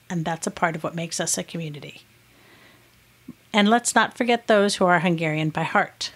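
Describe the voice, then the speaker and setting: A middle-aged woman with an American accent hosts a podcast in a fairly low voice. She reads from a script and explains things clearly and patiently. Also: a bit bright. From United States. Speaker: podcast host.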